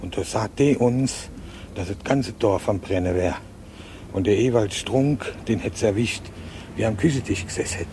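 Man as plain-spoken village eyewitness for radio drama, west-central German dialect colouring, lowish pitch, faintly noisy background. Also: a little mellow, lightly acted. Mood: halting recollection. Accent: regional west-central German (Hessian-Palatinate). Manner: rustic, halting, colloquial. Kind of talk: naturalistic eyewitness account in a radio play.

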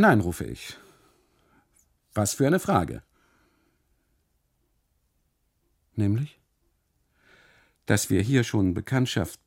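An uneasy, gravelly, low-voiced husband. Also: male voice, darkish tone, no room sound, studio quality, full character voice. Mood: agitated, protesting. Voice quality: gravelly, husky. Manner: halting, fragmented phrasing, insistent repetition. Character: uneasy German husband on holiday, anxiously recounting, obsessive. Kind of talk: literary radio monologue, 1980s German radio drama.

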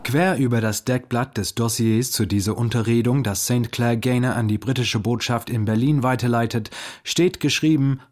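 Man, average pitch, narrator, scripted documentary narration. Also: normal speed, a little mellow.

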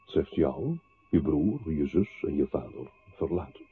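Very deep, swooping male tormentor. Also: very animated, a little slow, slightly rough, full character voice. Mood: dark, insistent. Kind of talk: radio play, terse interjections. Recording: tinny, narrow old radio recording with hiss.

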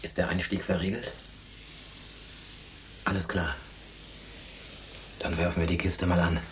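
A man's voice: deep voice, flat delivery, measured pace, far from the mic, noisy background. A man acting a cool, decisive spaceship pilot leading an escape in a German radio play. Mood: commanding.

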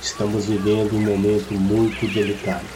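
A man with a Brazilian accent voicing a solemn, wise village shaman in an audio story, speaking in a measured, grave, instructive way. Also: lowish voice, monotone, noisy background, lightly acted. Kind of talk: scripted audio story acting.

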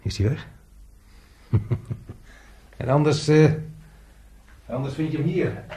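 An actor playing a chatty, jovial unemployed handyman in a radio drama, talking colloquially with a raspy, husky voice. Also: a little high, takes it slowly, warm tone, exaggerated voice. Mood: amused, jovial. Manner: talkative, colloquial, chuckling. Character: chatty, jovial unemployed workshop handyman showing a newcomer around.

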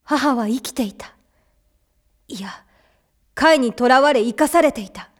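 High, sombre boy hero. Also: unhurried. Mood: troubled. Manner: sombre, reflective. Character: earnest, brooding young boy hero narrating. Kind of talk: dramatic first-person anime narration.

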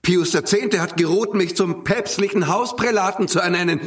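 A man playing an indignant high-voiced priest. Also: moderate pace, natural voice. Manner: indignant, pleading. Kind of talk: radio play acting.

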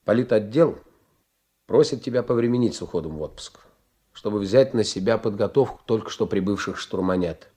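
An actor playing a benevolent, persuasive middle-aged senior naval officer in a radio play, talking in a measured, encouraging way with deliberate pauses. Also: male voice, fairly low pitch, dark tone, lightly acted.

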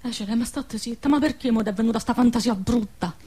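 A woman's voice: fairly high pitch, fast talker, mellow, slightly rough. An actress playing an anguished, protective peasant woman amid war ruins in a radio play, plaintive and insistent, with a central-southern Italian dialect. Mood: urgent scolding. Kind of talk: emotional radio theatre acting in dialect.

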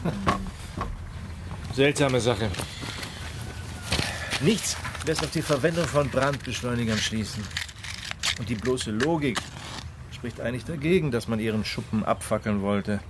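An actor playing a dry-humoured, probing police inspector in a German radio crime drama, speaking deliberately with musing hums. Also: male voice, medium pitch, background noise, close to an everyday voice.

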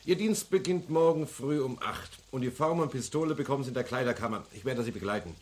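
An actor playing a genial but commanding veteran police chief in a German radio crime drama, measured and authoritative. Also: male voice, mid-range voice, expressive, darkish tone, slight background noise, natural voice. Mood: businesslike.

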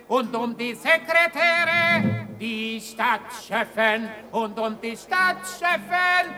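A theatrical street ballad singer in a radio play. The voice is high and very slow, declaimed and half sung with mocking relish, over clear musical accompaniment. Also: female voice, animated, pushed and bright, faint music behind, exaggerated voice. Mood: declamatory, sly.

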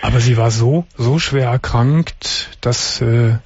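A man hosting a late-night radio call-in show, with a husky, slightly breathy voice at a middle pitch. He asks calm, patient questions and lets the caller talk. The audio is dull and lo-fi. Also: slow, no echo.

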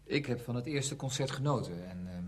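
Reserved, hesitant novelist, husky. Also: male voice, mid-range voice, lively intonation, brisk. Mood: guarded, awkward. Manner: hesitant, understated. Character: reserved, hesitant male novelist meeting an old acquaintance. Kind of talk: radio play dialogue.

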